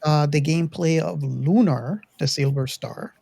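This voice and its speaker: Higher-pitched man, casual podcast host. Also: measured pace, creaky, warm tone, slight background noise. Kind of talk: casual hobby chat.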